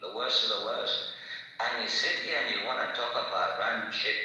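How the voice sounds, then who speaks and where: Ranting man, bright and echoey. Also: medium pitch, brisk. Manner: ranting, profane and insulting. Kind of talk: heated online group-chat argument. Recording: echoey, distant from the mic.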